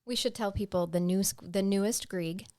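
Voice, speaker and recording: A woman's voice: mid-range voice, moderate pace, heavy background noise. A woman hosting a podcast, talking in a bubbly, chatty way that moves between ad reads and banter with her co-host. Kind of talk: casual chat.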